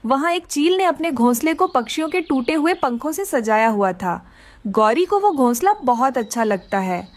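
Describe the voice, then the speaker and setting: A woman's voice: sits high, expressive, moderate pace. A woman narrating a scripted children's story.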